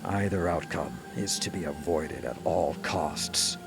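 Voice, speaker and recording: A man playing a grave, dutiful military commander in a video game, giving a serious, measured briefing. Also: low-pitched. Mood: grave, urgent. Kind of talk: dramatic video game acting. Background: game music.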